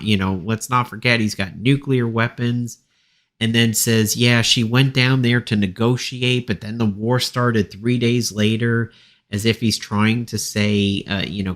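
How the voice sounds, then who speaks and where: Male political commentator, lower-pitched voice, informal and opinionated, halting delivery working from notes. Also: fairly bright tone. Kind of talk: informal debate recap from notes.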